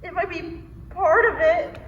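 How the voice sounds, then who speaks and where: A woman's voice: very high-pitched, unhurried, fairly bright tone, lo-fi sound. A young woman acting in a student stage play as an insecure, clingy girlfriend who stammers nervously and repeats herself. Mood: panicky, tearful. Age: young adult. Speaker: student actor. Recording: echoey hall, far mic, faint noise.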